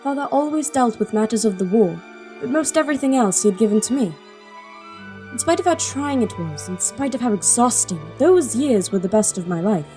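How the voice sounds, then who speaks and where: A woman plays a devoted teenage princess in an audio drama. Her voice is fairly high and on the soft, mellow side, earnest and articulate, with light music underneath. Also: animated, a little fast, close to an everyday voice. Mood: wistful, reflective. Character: earnest, devoted young princess, seventeen, daughter of king. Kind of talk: audio drama acting with first-person narration.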